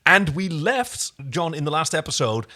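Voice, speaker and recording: Animated man recapping TV on a podcast. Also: fairly high pitch, normal speed. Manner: animated, playful storytelling. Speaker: podcast co-host. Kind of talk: casual chat recapping a TV show.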